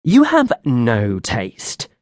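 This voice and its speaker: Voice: medium pitch, sing-song, moderate pace, no room sound, clean studio sound, voice pushed up. A reader voicing a vain, sarcastic fairy. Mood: mocking. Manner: witty, mocking, theatrical. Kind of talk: audiobook character voice.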